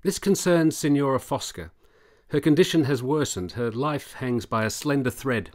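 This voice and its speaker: An actor playing an earnest, persuasive military doctor in a period radio drama, formal and deliberate. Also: male voice, medium pitch, natural voice. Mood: grave, confiding. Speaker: supporting actor.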